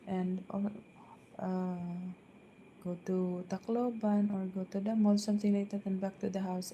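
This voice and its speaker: Soft-spoken filipina lady chatting on a live stream at home, slow and flat, lots of ums, faint hum behind. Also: medium pitch, low-quality recording. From Philippines. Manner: hesitant, many fillers and restarts. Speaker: vlogger. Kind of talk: casual live-stream chat. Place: downstairs at home.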